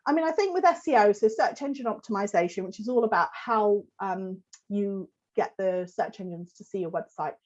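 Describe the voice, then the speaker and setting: Croaky woman giving a webinar. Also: mid-range voice, moderate pace, few pauses, muffled recording. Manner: conversational, many fillers, answers chat questions. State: croaky from a cold. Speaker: host giving a business talk. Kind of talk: live webinar with Q&A.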